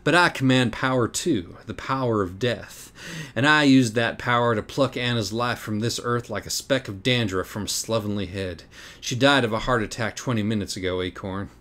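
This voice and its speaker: A man's voice: fairly low pitch, normal speed, a bit bright, pitched above the performer's own voice, lightly acted. A man voicing a grandiose, taunting demonic cat in an audiobook, with pompous, theatrical delivery. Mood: grandiose menace.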